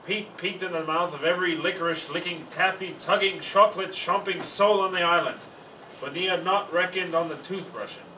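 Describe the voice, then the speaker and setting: A man reading a children's story aloud in expressive storybook narration. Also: high voice, a little fast. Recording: roomy, distant mic, faint noise.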